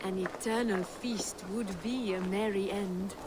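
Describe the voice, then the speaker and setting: A woman's voice: mid-range voice, steady tone, a little slow, heavy background noise, lightly acted. An actress playing a wistful, worldly-wise noblewoman in a medieval video game, with crowd ambience behind her. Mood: dreamy. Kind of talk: dramatic video game dialogue.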